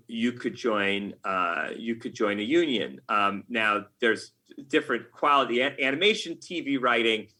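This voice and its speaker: An American man chatting as an interview guest in a casual, halting way, thinking aloud. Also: mid-range voice, pushed and bright. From United States. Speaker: podcast guest, game writer.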